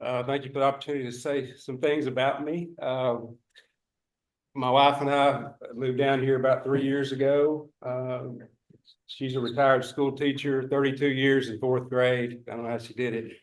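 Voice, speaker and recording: A plainspoken man with a Northeast Texas accent speaks with dry humor, his pitch staying level and even. His voice rings with the echo of a meeting room. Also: moderate pace. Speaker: HOA board candidate. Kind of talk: candidate self-introduction at a public meeting.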